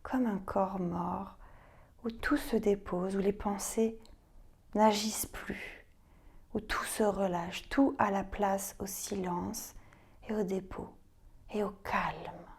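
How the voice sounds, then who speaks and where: A woman yoga teacher, a native French speaker, leading guided relaxation in a soft, calm, breathy voice that often sinks close to a whisper. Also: medium pitch. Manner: slow, calm, gentle. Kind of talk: guided relaxation and meditative reading.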